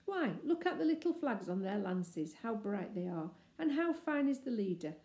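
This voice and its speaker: A woman's voice: mid-range voice, very animated, moderate pace. A woman voicing a cheerful young girl in a children's storybook, coaxing and reassuring with emphatic delivery. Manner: coaxing, bright-spirited, emphatic. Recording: echoey room.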